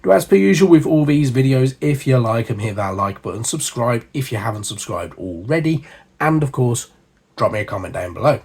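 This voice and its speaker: A man hosting a hobby channel, hoarse and husky from a cold, chatting casually with lots of fillers and asides. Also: medium pitch, sing-song, a little fast, darkish tone. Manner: chatty, rambling, with frequent fillers. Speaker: miniature painting channel host. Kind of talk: casual hobby chat to camera.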